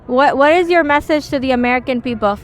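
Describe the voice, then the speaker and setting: Woman reporter, slightly high voice, casual filler-laden questions. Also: fast talker. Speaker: interviewer. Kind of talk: street interview questions.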